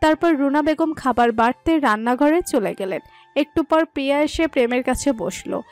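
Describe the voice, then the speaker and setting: High-pitched woman narrating a romantic story. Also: lively intonation, moderate pace, dry recording. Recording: music under the voice.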